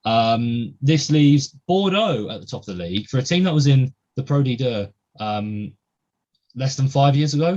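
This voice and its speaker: Chatty young podcast host, muffled sound. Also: male voice, medium pitch, expressive, moderate pace, darkish tone. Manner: chatty, many ums and uhs. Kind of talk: casual podcast chat.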